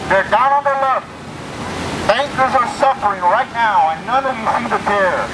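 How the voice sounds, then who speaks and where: A man's voice: very high voice, normal speed. A man giving a sarcastic, declamatory protest speech through a megaphone outdoors, with mock-pleading appeals. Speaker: street protester. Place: outdoors on a city street. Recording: loud street noise, megaphone outdoors.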